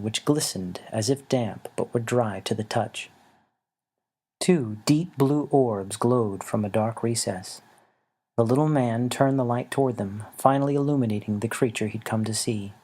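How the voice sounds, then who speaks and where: A man reading his own fantasy story as scripted audiobook narration, in a measured, unhurried storytelling manner. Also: mid-range voice, mellow. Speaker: author reading own book.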